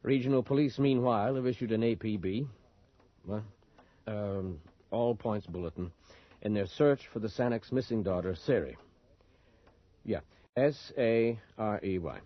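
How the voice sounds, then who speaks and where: An actor playing a cynical, wry reporter with a husky voice and a sardonic manner in a radio drama. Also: male voice, fairly low pitch, measured pace. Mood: brisk, weary. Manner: sardonic, muttering to himself. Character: cynical, wry male reporter, an outsider in town. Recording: muffled early 1980s radio broadcast.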